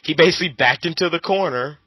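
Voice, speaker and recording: Sharp-voiced man in blunt casual commentary. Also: on the high side, quick pace, breathy edge, dry recording. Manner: argumentative, blunt, foul-mouthed. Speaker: co-host commenting on online arguments.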